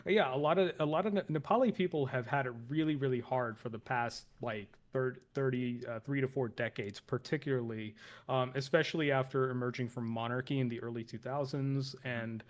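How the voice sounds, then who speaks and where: A man's voice: mid-range voice, brisk. A man from northern India giving casual, explanatory political commentary on a livestream, often correcting himself as he goes.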